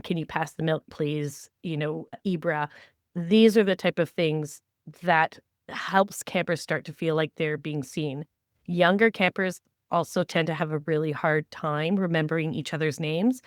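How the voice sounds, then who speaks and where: A woman's voice: deep voice, steady tone, a little slow, no room sound, studio quality. A woman co-hosting a podcast, giving conversational advice with emphatic, repeated phrasing. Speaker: podcast co-host. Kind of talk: conversational advice on camp staff training.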